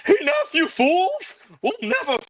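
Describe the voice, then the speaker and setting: A woman voicing a furious villainous male knight in a melodramatic, drawn-out way for a live script read. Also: extremely high pitch, huge pitch swings, full character voice. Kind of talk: live amateur script reading.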